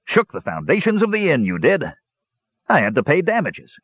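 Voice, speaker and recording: A man performs a cunning, jovial old-tavern sort of dwarf for an audiobook. His voice is husky and lively in pitch, mock-solemn and cajoling. The recording is dry and a little muffled. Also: normal speed, no echo, heavily acted. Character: sly, jovial pot-bellied bearded male dwarf adventurer. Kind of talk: audiobook character voice.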